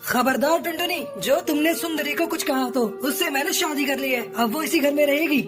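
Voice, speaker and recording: Woman voicing a high-voiced, dramatic crow husband. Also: normal speed, music in the background, close to an everyday voice. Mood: stern. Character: evasive male crow husband, weary and defiant.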